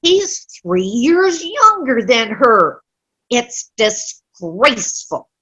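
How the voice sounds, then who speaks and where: A woman's voice: a little high, big pitch swings, very slow, pushed and bright, husky, lo-fi sound, full character voice. A woman playing a cranky, stubborn elderly widow in an amateur comedy play reading, grumbling bluntly and dismissively. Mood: disapproving. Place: online video-call play reading.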